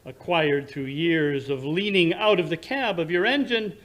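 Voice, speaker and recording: A man playing a brilliant, condescending Victorian English gentleman detective who lectures precisely and theatrically in 1940s radio drama style. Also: on the high side, a little slow. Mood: confident deduction.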